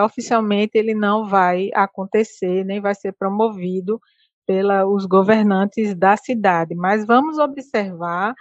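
A woman's voice: mid-range voice, no room sound, muffled recording. Earnest woman giving advice. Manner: earnest, advising, repeats and restarts. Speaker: health guest. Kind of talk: casual interview talk.

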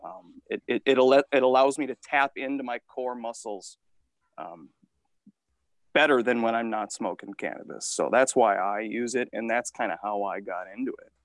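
A man around thirty, a podcast guest telling stories in an animated, casual way with the odd swear. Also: medium pitch, normal speed, no room sound, studio quality. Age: 30s. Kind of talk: casual interview storytelling.

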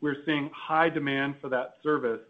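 A man's voice: on the high side, steady tone, normal speed. A man speaking as a senior executive on an earnings call, with a polished business delivery that moves through a list of points. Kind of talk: corporate answer on an earnings call. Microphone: conference call line. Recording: narrow phone-like conference line.

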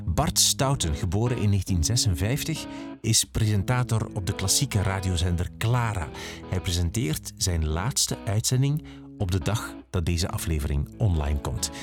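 A man's voice: low voice, expressive, moderate pace, over music. A man with a Flemish accent, a podcast host reading a scripted introduction.

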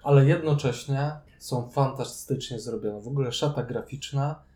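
Mellow-voiced man chatting. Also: medium pitch, normal speed. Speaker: podcast co-host. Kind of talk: casual board game review chat.

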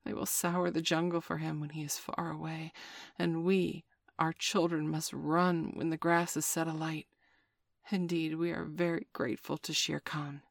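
A woman voicing a calm, wry mother wolf who speaks quietly, often half whispering. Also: low voice, normal speed, creaky, dry recording, clean studio sound. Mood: grim.

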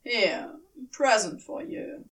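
A woman's voice: on the high side, slow, fairly bright tone, distant mic. A woman voicing a wry, nonchalant male demon with a curt manner in an audiobook story. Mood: brusque. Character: offhand, wry male demon, grudgingly fond.

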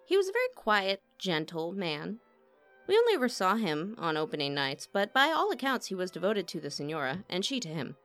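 A woman voicing a busy, matter-of-fact opera wardrobe mistress in an audiobook, chatty and brisk. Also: medium pitch, very animated, a bit bright, faint music behind. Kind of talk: audiobook character acting.

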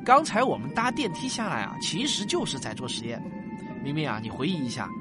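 Man acting a patient, animated explaining father. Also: a little high. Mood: instructive. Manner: didactic, drawn-out sentence particles, animated. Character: patient, affectionate father explaining science to his child. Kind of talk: Chinese sci-fi audio drama acting. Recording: music bed behind voice.

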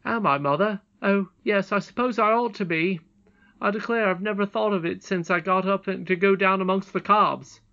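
A woman's voice: mid-range voice, moderate pace, a bit bright, muffled recording, voice pushed up, close to an everyday voice. A woman voicing a brave, humble young miner boy in a storybook, frank, earnest and emphatic.

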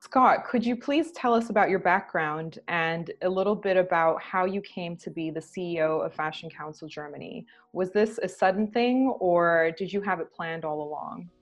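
A woman's voice: on the low side, moderate pace, hardly any pauses, soft background music. A woman hosting a podcast, introducing her guest and asking polite, formal questions. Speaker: podcast host. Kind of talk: interview introduction.

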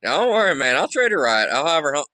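A man's voice: on the high side, very animated, fast, sharp tone, dry recording. A man in his twenties in a casual, flirty chat, playful and stammering as he repeats himself. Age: 20s.

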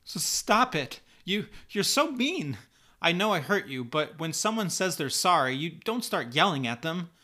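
Man voicing an earnest, high-pitched young superheroine. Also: sing-song, measured pace, pushed and bright, heavily acted. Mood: tearful, indignant. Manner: pleading, stammering, indignant. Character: earnest teenage superheroine, naive, easily hurt. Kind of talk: dramatized comic book dialogue.

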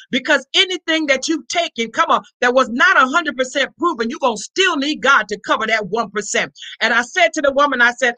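High, bright-voiced pastor preaching fervently. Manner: exhortatory, repeats refrains and interjections; emphatic, often raising the voice. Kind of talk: fervent charismatic church sermon.

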